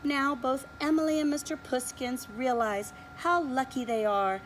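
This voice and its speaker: A preschool teacher reading a picture book aloud to young children, slowly and expressively, pointing out the pictures. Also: female voice, high voice, slight background noise, low-quality recording. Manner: slow, expressive read-aloud, showing pictures.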